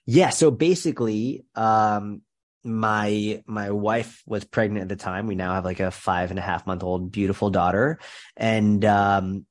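A young man with a nasal, stuffy voice from a head cold, chatting fast as a podcast guest and restarting his sentences often. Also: fairly low pitch, steady tone. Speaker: podcast guest, strength and nutrition coach. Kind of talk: casual interview chat.

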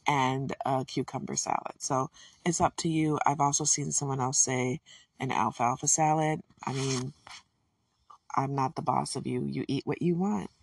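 A woman's voice: rumbling low, fairly even intonation, normal speed, vocal fry, husky, dry recording. A woman cooking at home, chatting in a casual, folksy way with self-amused asides and corny jokes. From Detroit, USA. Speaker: home cook. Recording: distant mic with guitar music behind. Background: guitar music.

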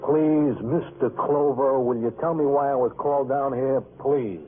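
A sullen, bitter husband in a vintage radio crime play. He sounds curt and sardonic, with a rough edge to the voice. The sound is narrow and tinny. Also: medium pitch, fairly even intonation, unhurried. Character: callous, sullen, resentful estranged husband. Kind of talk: old-time radio drama acting.

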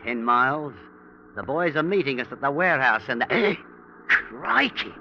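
An actor in an old-time radio drama playing a jittery, doubting truck driver, agitated and slangy. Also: male voice, mid-range voice, expressive, measured pace, gravelly, bright, forceful tone, exaggerated voice. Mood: doubtful. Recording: dull, noisy old radio recording.

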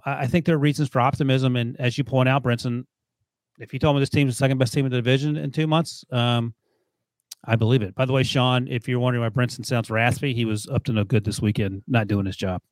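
A man's voice: medium pitch, no echo. A man co-hosting a sports podcast, talking through game analysis in a rambling, casual way, often restarting and chuckling. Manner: rambling, self-correcting, chuckles while talking. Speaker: podcast co-host.

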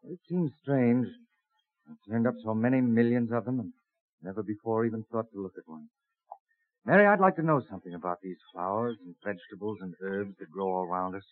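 An actor playing an earnest, curious young Quaker farmer of colonial times, speaking in old-fashioned plain speech in a radio drama. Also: male voice, fairly low pitch, fairly quick, close to an everyday voice. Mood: wondering, earnest. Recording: tinny band-limited old radio recording.

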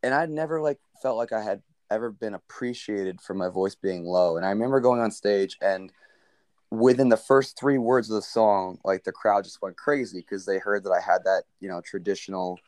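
Deep-voiced man telling a story. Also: normal speed, no echo. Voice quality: low baritone voice. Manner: relaxed, rambling with many fillers. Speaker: country singer, guest. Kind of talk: casual interview storytelling.

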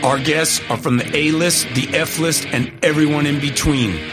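A man's voice: a little high, steady tone, normal speed. A man co-hosting a podcast, reading a scripted show introduction. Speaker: podcast host. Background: guitar music.

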